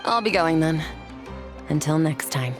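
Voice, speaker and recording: Low-voiced, aloof female warrior. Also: lively intonation, soft background music. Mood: brisk, detached. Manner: measured, terse, detached, formal. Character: cool, aloof young woman warrior, blunt and solemn. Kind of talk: video game voice acting.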